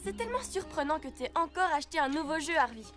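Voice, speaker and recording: Actress as a know-it-all cartoon schoolgirl. Fast, very high, bossy and sarcastic, music behind. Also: lively intonation. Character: prim, sarcastic schoolgirl classmate. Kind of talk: animated film dub.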